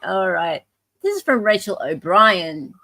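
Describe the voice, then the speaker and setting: A woman's voice: mid-range voice, expressive, measured pace, bright, forceful tone, no echo. An Australian woman hosting casual live commentary, informal and thinking aloud as she goes. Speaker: host leading a live group read-through of emails.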